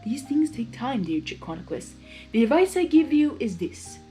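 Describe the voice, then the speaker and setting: A woman voicing a wise, cryptic female zebra who speaks in measured, solemn rhymes in a story reading. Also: on the high side, big pitch swings, warm tone, faint music behind, pitched above the performer's own voice. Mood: cryptic, advising.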